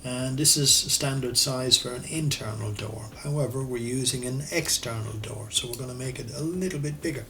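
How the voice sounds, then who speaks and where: A man with an Irish accent teaching architectural CAD over a screen recording, relaxed and thinking aloud as he works, often correcting himself. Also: medium pitch, moderate pace, few pauses. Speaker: architectural designer and CAD tutor. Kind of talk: screen-recorded software tutorial.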